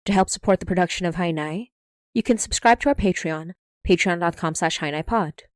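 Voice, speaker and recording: Woman podcast creator, scripted credits read, slightly low pitch, close dry recording. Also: fairly quick, dry recording.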